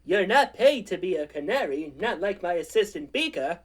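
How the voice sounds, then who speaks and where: An actor playing a gruff, grieving, short-tempered drugstore boss in a comedic radio play, curt and theatrical. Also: medium pitch, sing-song, normal speed, slight background noise, lightly acted. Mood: stern, curt.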